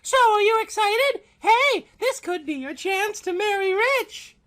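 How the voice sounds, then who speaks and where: A man's voice: very high-pitched, animated, unhurried, fairly bright tone, voice pushed up, heavily acted. A man voicing a shallow, chatty blonde young woman in a comic audio story. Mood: bubbly, nosy.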